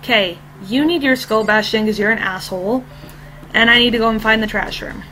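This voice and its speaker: A woman with a slightly hoarse, fading voice, giving casual, irreverent commentary while playing a game as host. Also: a little high, brisk, sharp tone, soft background music, poor audio. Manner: casual and irreverent, with mild swearing. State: losing her voice, slightly hoarse. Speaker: gaming video host. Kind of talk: let's play commentary.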